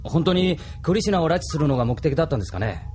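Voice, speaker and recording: Quick, earnest young crewman. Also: fairly high pitch, big pitch swings, a little mellow, faint music behind. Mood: puzzled, earnest. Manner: earnest, questioning. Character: earnest, worried young male bridge crewman. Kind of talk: anime audio drama acting.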